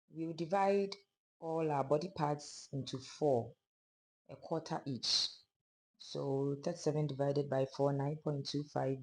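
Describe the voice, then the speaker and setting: A sewing instructor with a hoarse, fading voice, calmly narrating a pattern-drafting tutorial step by step. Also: mid-range voice, poor audio. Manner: calm, step-by-step explaining of measurements. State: hoarse, losing voice.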